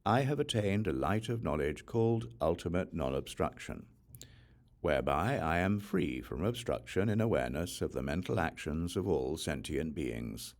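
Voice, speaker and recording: A man reads a sacred text aloud as an audiobook, in measured, solemn phrases with deliberate pauses. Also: very deep voice, expressive. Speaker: audiobook reader of scripture. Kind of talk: scripted reading of a Buddhist sutra.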